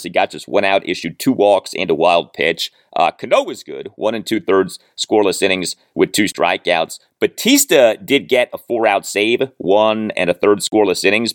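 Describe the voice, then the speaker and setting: Man, sports podcast host, low pitch, dry close sound, emphatic solo commentary. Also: no room sound.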